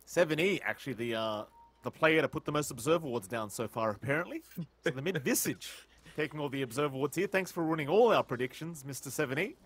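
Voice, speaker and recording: A man doing excitable play-by-play commentary on a video game match, teasing his co-caster and climbing in pitch at big plays. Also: quick pace. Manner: excitable, teasing, rises sharply in big moments. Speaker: esports caster.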